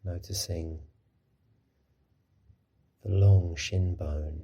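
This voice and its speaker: Low, mellow, husky man guiding meditation. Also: fairly even intonation. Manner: gentle, unhurried, soothing instruction. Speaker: mindfulness teacher. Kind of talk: guided body scan meditation.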